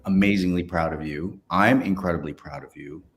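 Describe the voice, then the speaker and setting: A man's voice: sits low, fairly quick. A man interviewing in a casual, personal way, effusive and encouraging, often restarting his phrases as he goes.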